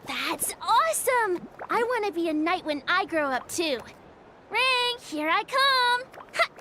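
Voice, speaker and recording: Small storybook creature, extremely high, childlike, eager, video game character. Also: female voice, expressive, a little slow, noisy background. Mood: excited. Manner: childlike, earnest. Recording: music behind the voice.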